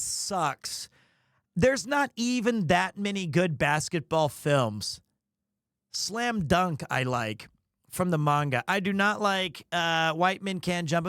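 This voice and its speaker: A male livestreamer with a notably high voice for a man. He rambles through his opinions in casual chat, sometimes playfully defending himself. The sound is very dry, with no room around it. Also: a bit bright, clear, resonant tone, no room sound. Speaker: live streamer, host of the show.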